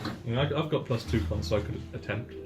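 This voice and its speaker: A man's voice: mid-range voice, quick pace. Male game player, middle register, casual table chat, faint music behind. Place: in-person game around a table.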